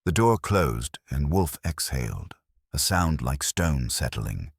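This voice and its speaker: A man's voice: rumbling low, very animated, slow pace, gravelly, breathy edge, dry recording, studio quality. A man narrating a first-person detective story from a script. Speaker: audiobook narrator.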